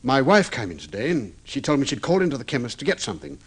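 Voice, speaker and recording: A man's voice: medium pitch, big pitch swings, fast, husky. An actor playing an agitated, guilty husband in an old radio drama, pleading earnestly and stumbling over his words. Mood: confessing, anxious. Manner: earnest, flustered, hesitant and repeating himself. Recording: slightly muffled vintage radio drama recording.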